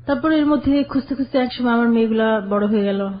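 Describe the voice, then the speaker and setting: An older woman, a guest on a radio show, telling her own life story in a plain, halting way. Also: a little high. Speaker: caller guest. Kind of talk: personal storytelling. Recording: dull lo-fi radio sound.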